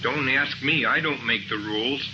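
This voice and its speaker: A man's voice: mid-range voice, moderate pace, muffled recording, natural voice. An actor playing a doubtful male telegram messenger in a 1950s radio drama, hesitant but insistent. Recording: noisy vintage radio recording.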